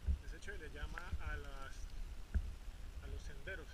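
A man's voice: a little high, moderate pace. Colombian man narrating a mountain hike. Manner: calm, admiring tour-guide commentary. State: walking on a trail. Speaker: hiker filming his walk. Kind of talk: casual guided narration. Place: outdoors on a mountain trail. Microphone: action camera held at arm's length. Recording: distant voice under loud wind noise.

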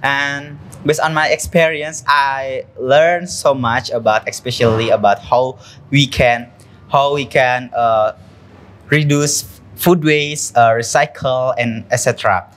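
A young man from Indonesia talks haltingly, often searching for words. His voice sits in a mid range. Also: mid-range voice, fairly even intonation, fairly bright tone. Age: young adult. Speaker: student participant. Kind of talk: casual testimonial. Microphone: handheld mic.

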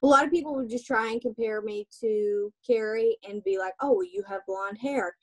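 A young adult woman answering interview questions over a video call, chatty and relaxed, with lots of fillers. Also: a little high, moderate pace. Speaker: guest, singer. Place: small room indoors. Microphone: webcam on a video call.

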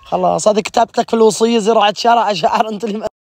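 A man with a fairly high voice jokes and needles his friends at a desert picnic. He speaks into a phone he holds himself, in the open air, and his talk is playful and provoking. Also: fairly even intonation, racing. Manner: playful, provoking, bursts into high laughing shouts. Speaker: vlogger filming friends. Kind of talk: casual teasing banter. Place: outdoor desert camp picnic. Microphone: phone held by the speaker.

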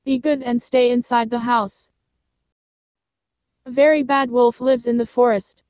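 A woman voicing a caring, cautious mother goat in a storybook, with a soft, gentle voice. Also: a little high, steady tone, measured pace, no room sound, muffled recording, voice pushed up, close to an everyday voice. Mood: caring, warning.

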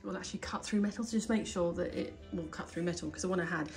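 A woman's voice: on the low side, racing, a little mellow, faint music behind. Chatty woman doing home DIY. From United Kingdom. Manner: chatty, thinking aloud while working. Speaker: DIY home renovation vlogger. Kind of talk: casual hands-on DIY tutorial. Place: indoor hallway and staircase at home. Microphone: handheld phone or camera filming her hands.

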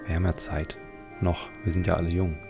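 A man's voice: sits low, level tone, fairly quick, vocal fry, over music. A man hosting a let's play, giving leisurely, reflective commentary on a video game.

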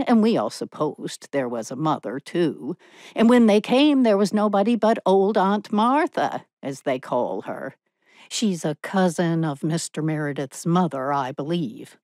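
A woman voicing an opinionated, sharp-tongued spinster who gossips with contempt and bitterness in a storybook reading. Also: low voice, no echo, studio quality.

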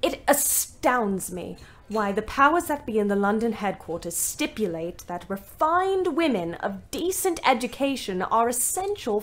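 An actress playing a wry, resentful young British woman ambulance driver, narrating her diary in an emphatic way. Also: a little high. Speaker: actor narrating an audio play.

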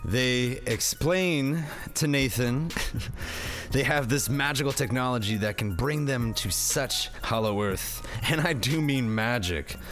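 A man hosting a comedic, part-scripted movie review in a slangy, sweary, wisecracking way. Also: mid-range voice. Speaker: review show host. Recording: music under the voice.

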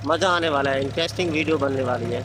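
A young man chatting excitedly as he films a travel vlog on a phone held at arm's length, outdoors on a busy waterfront and boat ride, often repeating himself. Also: medium pitch, fast talker, loud music behind the voice. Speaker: vlogger. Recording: loud boat engine and water noise.

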